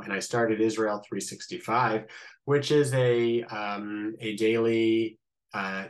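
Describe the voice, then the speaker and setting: A man's voice: lowish voice, low-quality recording. A man from Ohio with a Midwestern American accent, a rabbi explaining things conversationally as an interview guest. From Columbus, Ohio, United States.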